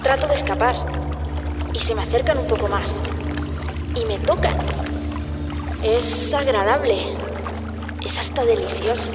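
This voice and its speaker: A woman's voice: on the high side, measured pace, pauses often, over loud music, lightly acted. An actress playing a drowsy, dreamy woman in an intimate late-night radio drama conversation.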